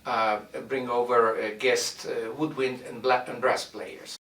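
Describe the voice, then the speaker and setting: A man's voice: medium pitch, flat delivery, a bit bright, far from the mic. Man speaking in a roomy echo. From Argentina. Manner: measured, frequent "uh" pauses while searching for words. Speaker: violin professor and orchestra director. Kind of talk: recorded introduction to his studio. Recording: roomy echo, mic set back.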